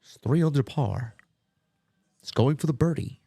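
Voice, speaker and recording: A man putting on the voice of a hushed male golf commentator, soft and measured, sounding congested and nasal. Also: mid-range voice, vocal fry, no room sound.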